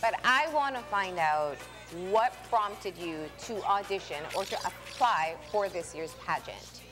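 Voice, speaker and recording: A woman co-hosting a morning TV show, speaking in an encouraging, chatty way. Her voice sits at an ordinary pitch for a woman. Music plays clearly in the studio behind her. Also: expressive, unhurried, low-quality recording. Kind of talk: casual live TV chat. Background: background music.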